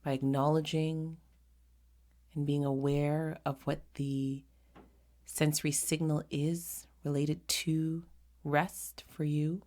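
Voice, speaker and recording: Slow, low-voiced woman guiding meditation. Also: level tone. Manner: gentle, unhurried, pausing often between short phrases. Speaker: meditation guide. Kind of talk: guided meditation.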